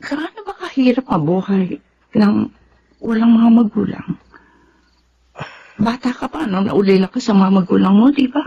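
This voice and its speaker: A woman's voice: mid-range voice, expressive, normal speed, pauses often, mellow, breathy edge. An actress playing a grieving, grateful woman in a Filipino radio drama, speaking in a sincere, heavy-hearted way. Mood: tender. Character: grieving, grateful adult woman, Remedios, orphaned landowner. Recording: dull radio recording with background noise.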